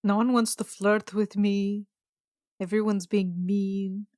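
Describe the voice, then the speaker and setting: A woman's voice: medium pitch, little pitch movement, takes it slowly, darkish tone, no echo, clean studio sound. A woman improvising in character at a tabletop roleplaying game, casual, plaintive and mock-dramatic.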